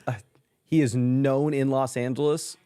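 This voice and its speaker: A man's voice: medium pitch, little pitch movement, a little slow, warm tone, clean tone. A man hosting a casual fantasy football chat and reading out viewer messages, upbeat and chatty. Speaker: host reading live chat.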